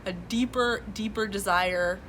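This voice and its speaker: Bright-voiced woman, earnest streamer. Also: mid-range voice, measured pace, slight background noise. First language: Farsi. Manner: earnest, lots of fillers and restarts. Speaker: livestream host. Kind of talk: casual political commentary, reading chat. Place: home room with boxes behind.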